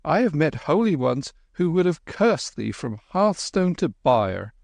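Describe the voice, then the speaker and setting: A man's voice: fairly high pitch, very animated, measured pace, gravelly, a little mellow, no room sound, studio quality, voice pushed up, full character voice. A man doing a cocky, tart street boy. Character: cheeky quick-witted young street boy.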